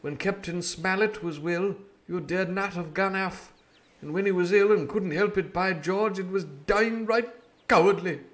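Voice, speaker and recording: A man voicing a stern but kindly older ship's doctor in a storybook reading, scolding in an old-fashioned gentlemanly manner. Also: high-pitched, unhurried, few pauses, pitched above the performer's own voice. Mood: reproachful.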